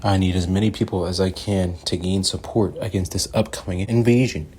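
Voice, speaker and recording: A man's voice: low voice, fairly even intonation, brisk, a little mellow, lightly acted. A man playing an earnest, anxious young man who speaks urgently, in a fan dub audio drama.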